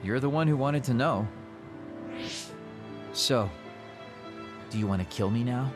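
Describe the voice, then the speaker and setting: A man's voice: mid-range voice, unhurried, close to an everyday voice. An actor playing a teasing, world-weary immortal man in a video game cutscene. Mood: weary, hollow. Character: burned-out, playful man two thousand years old, hollow inside. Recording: music behind the voice.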